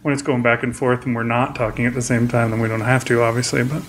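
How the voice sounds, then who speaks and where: A man's voice: medium pitch, flat delivery, fast talker, rough recording. Rambling laid-back guy chatting at home on his podcast, mid voice, faint hiss behind. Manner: rambling, easygoing, laughs often. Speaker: podcast co-host. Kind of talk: casual chat. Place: home room with plate on wall.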